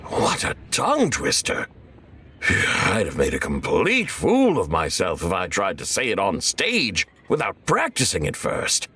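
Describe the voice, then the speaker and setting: A wry local townsman in a video game. His slightly low voice has a bright, forceful edge. He takes his time, with hugely swinging intonation. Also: huge pitch swings, measured pace, a little hiss or hum, heavily acted. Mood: wry, impressed. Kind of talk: video game character acting.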